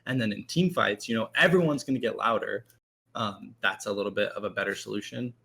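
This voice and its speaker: A man guesting as a team shot caller, talking loudly in a rambling, filler-heavy casual way. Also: fairly low pitch, a little fast, creaky, breathy edge. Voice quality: loud voice. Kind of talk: casual panel chat.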